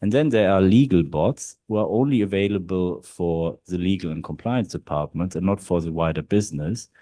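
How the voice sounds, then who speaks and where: A man's voice: low voice, normal speed, warm tone, dry recording. A man from Germany with a German accent, speaking as in-house counsel on a panel, hesitant with many fillers. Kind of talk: panel talk at a law event. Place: large historic hall.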